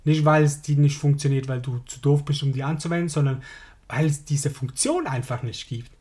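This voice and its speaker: A man with a Swiss German accent hosts his own podcast, his voice hoarse and a little strained. He talks alone in a loose, informal way, as if speaking to one listener. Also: on the high side, a little fast, darkish tone. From Switzerland. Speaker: podcast host. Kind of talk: casual solo podcast monologue.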